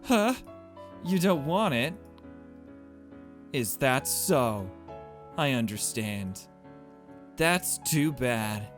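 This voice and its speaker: A man voicing a demure, intense, lovestruck young character from an anime visual novel, politely and deliberately. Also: medium pitch, expressive, soft background music. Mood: earnest, insistent.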